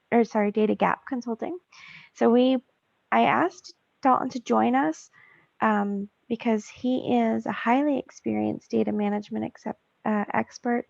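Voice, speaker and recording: Woman host, gravelly voice, halting with many ums, dull dry video-call audio. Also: a little high, a little mellow, dry recording. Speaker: meeting host. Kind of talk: webinar introduction.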